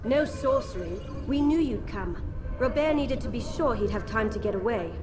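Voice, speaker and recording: A woman playing a proud, coolly defiant Templar in a video game, speaking in a measured, mocking way. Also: a little high, expressive, over music, natural voice. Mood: composed, knowing. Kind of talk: dramatic video game cutscene acting.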